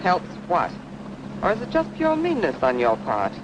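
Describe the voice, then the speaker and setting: A catty society woman needles in an arch, swooping voice. She sounds worldly and cynical, in old radio drama style, under very loud recording noise. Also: on the low side, wildly swooping pitch, moderate pace, highs cut off. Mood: arch, needling.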